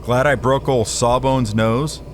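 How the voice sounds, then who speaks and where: A man's voice: mid-range voice, steady tone, a little slow, a little hiss or hum, lightly acted. A hard-boiled gumshoe, acted by a man in a noir audio drama. His voice sits mid-range, and he talks in terse, sardonic lines. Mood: defiant.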